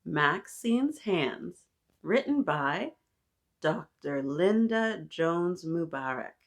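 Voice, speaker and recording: A woman's voice: on the low side, animated, extremely slow. A woman hosting a picture book read-aloud for young children, encouraging and sing-song, often putting questions to her listeners.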